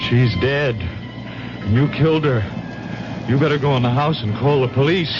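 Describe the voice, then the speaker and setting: A man's voice: mid-range voice, normal speed, lightly acted. An actor playing a grave, shaken, accusing man in a radio drama, speaking pointedly. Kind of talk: 1970s radio drama acting. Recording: dull old radio recording, music behind.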